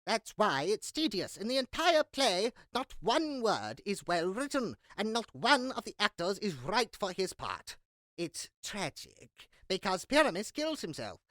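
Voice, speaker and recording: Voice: medium pitch, sing-song, measured pace, fairly bright tone, no echo. A performer playing a fussy, scornful master of revels to a duke in a Shakespeare audio drama, with a theatrical, disdainful manner. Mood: scornful, amused.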